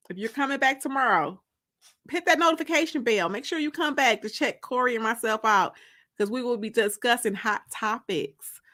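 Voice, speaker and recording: A woman's voice: fairly high pitch, pushed and bright. An American woman hosting a casual livestream, chatting with viewers in a playful, teasing, colloquial way. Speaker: livestream host and author.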